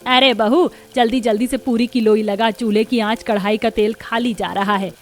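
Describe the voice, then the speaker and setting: A woman's voice: a little high, steady tone, moderate pace, lightly acted. A woman voicing an older, thrifty, kindly mother-in-law in a Hindi village cartoon. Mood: practical. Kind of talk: Hindi moral-story cartoon dialogue. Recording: music behind the voice.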